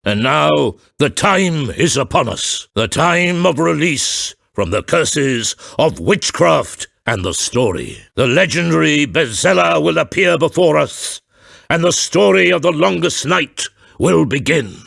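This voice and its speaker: An actor playing a grave, theatrical middle-aged father and storyteller in a video game, speaking in a deliberate, formal and grandiose way with a gravelly, husky voice. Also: a little high, expressive, fairly bright tone, no echo, studio quality, full character voice. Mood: grandiose, ominous. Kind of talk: dramatic video game voice acting.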